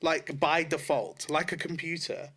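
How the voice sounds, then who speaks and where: A man's voice: fairly high pitch, little pitch movement, unhurried, sharp tone, slightly rough. A man co-hosting a podcast in casual chat, talking informally and correcting himself as he goes. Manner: informal, sweary, self-correcting. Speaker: podcast co-host.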